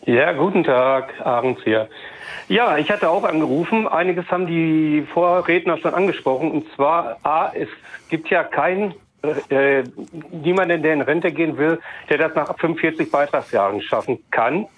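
A man's voice: on the high side, moderate pace. A man in his fifties calling in to a radio talk show, blunt and indignant, with many fillers. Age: 50s. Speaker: caller. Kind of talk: call-in radio comment. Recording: tinny phone line.

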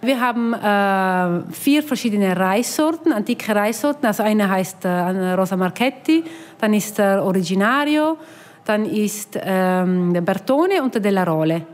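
A woman with an Austrian accent tinged with Italian, speaking at a middling pitch. She gives explanatory interview answers as a farmer, a little hesitant as she searches for words. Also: animated, measured pace, darkish tone. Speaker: rice farmer being interviewed.

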